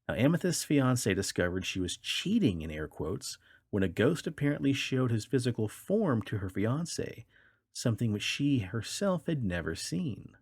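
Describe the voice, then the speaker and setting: Male podcast host for wry story readings, expressive and swooping, recorded close and dry. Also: medium pitch, normal speed, slightly rough, no echo. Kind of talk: reading odd news stories aloud.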